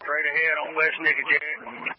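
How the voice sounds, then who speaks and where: A man's voice: on the high side, level tone, normal speed, no room sound. A male police officer giving short updates over a police radio. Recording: noisy, muffled police scanner radio.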